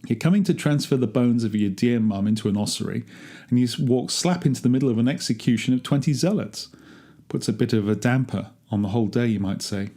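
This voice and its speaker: A man's voice: mid-range voice, brisk, dark tone, close to an everyday voice. A man reading a dramatic monologue as a wry, grumbling gardener among ancient tombs, chatty and darkly humorous. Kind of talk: first-person dramatic monologue, read aloud.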